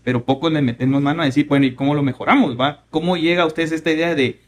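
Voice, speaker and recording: Man, podcast host; chatty, joking, upbeat, slightly higher voice. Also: steady tone, fast talker, a little hiss or hum. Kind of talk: casual interview.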